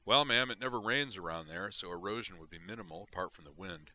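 A man's voice: low voice, fast talker, sharp tone, a little hiss or hum. A man doing a burly, grizzled Marine sergeant. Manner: wry, blunt military talk.

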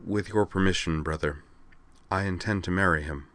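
Solemn young princess read by a man, composed and wry, measured delivery, commanding, dry audiobook sound. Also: low-pitched, a bit bright, no echo. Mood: calm, wry. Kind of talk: audiobook character voice.

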